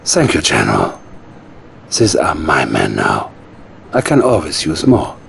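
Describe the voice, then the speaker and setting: An actor playing a sinister, cunning Soviet psychic mastermind in a game cutscene, silky and menacing, with a Russian accent. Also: male voice, rumbling low, wildly swooping pitch, a little slow, creaky, fairly bright tone, a little hiss or hum, full character voice. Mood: sinister, gloating. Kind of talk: theatrical game cutscene acting.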